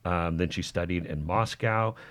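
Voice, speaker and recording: A man appearing as a political commentator, laying out confident talking points in a brisk, practiced way. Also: deep voice, fairly bright tone.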